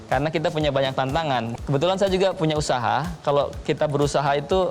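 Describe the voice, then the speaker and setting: A young man on a television talk panel. His voice sits a touch high, and he lays out his argument point by point at an even, measured pace. Faint music plays softly under the studio sound. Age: young adult. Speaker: talk show guest.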